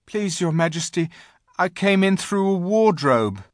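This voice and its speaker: A man's voice: high-pitched, animated, a little slow, dry recording, studio quality, voice pushed up. A man voicing a timid, polite young boy in an audiobook, meek, deferential and hesitant. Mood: nervous, meek. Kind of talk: audiobook character voice.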